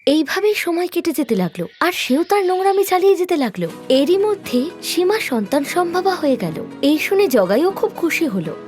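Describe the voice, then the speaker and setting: A woman's voice: very high-pitched, sing-song, normal speed, few pauses, darkish tone, faint music behind. A woman narrating a scripted moral story expressively, as a storyteller.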